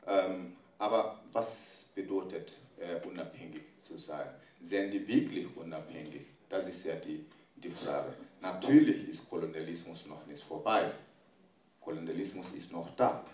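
A middle-aged man speaking at a panel, not a native German speaker, halting with many fillers and restarts, holding a handheld mic. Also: low voice, a little mellow, recorded from a distance. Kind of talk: panel talk. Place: large echoey conference room. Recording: echoey room, mic held off.

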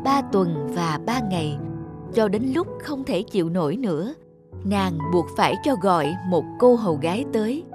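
A woman's voice: mid-range voice, lively intonation, moderate pace. A woman reading a scripted fairy tale as its narrator. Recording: music under the voice. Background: music.

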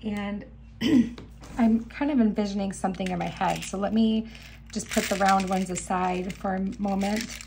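Chatty woman with a gravelly, froggy voice. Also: mid-range voice, fairly even intonation, moderate pace, noisy background. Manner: chatty, enthusiastic, talks while working. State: slightly hoarse, clearing throat. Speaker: beading channel host. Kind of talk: casual crafting tutorial. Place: indoor craft table. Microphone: camera above table, hands only.

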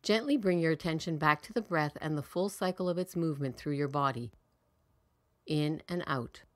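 A woman with a low-pitched voice narrates a guided meditation. She speaks calmly and soothingly, in measured phrases, and leaves long pauses for the listener.

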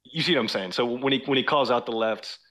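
A man's voice: medium pitch, fast, a bit bright. A man calling in to a talk show, speaking hesitantly and searching for his words. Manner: hesitant, lots of fillers and restarts, searching for words. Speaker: caller. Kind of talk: casual phone-in conversation.

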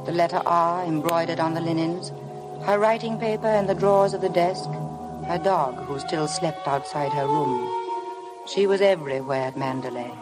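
An actress playing a timid, eager young bride in a 1950s radio drama, speaking earnestly and politely. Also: female voice, fairly low pitch, moderate pace, loud music behind the voice, lightly acted, rough recording. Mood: brooding narration.

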